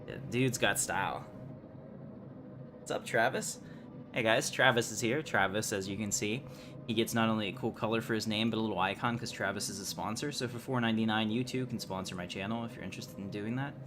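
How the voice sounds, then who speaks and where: A man with a fairly low voice chats through a game livestream. He rambles easily and conversationally, with clear noise and game ambience behind him. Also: a little fast. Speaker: game streamer, host. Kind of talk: casual livestream chat with game commentary.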